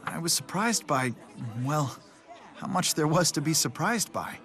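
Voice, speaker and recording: A man's voice: fairly high pitch, lively intonation, unhurried, fairly bright tone. An actor playing a polite, thoughtful, easily fascinated young man in a video game.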